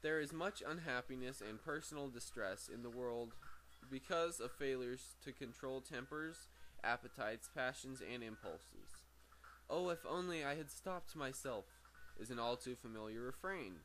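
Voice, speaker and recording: A man's voice: medium pitch, normal speed, fairly bright tone, low-quality recording. A man reading a book aloud as an amateur, stumbling over words, correcting himself and slipping into off-script asides. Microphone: very sensitive microphone. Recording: faint background noise, sensitive microphone.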